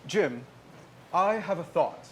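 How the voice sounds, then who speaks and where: An actor playing a measured, logical starship science officer in a stage parody. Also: medium pitch, lively intonation, slight background noise. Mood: deadpan, logical.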